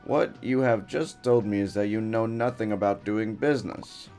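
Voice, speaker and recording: Man voicing a curt, impatient game crab businessman, low-pitched. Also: steady tone, normal speed, darkish tone, faint music behind, close to an everyday voice. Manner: brusque and impatient.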